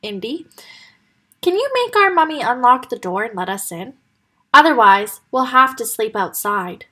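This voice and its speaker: A woman voicing a small, timid piglet who talks quietly and whines. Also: fairly high pitch, big pitch swings, moderate pace, exaggerated voice. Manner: small and whiny.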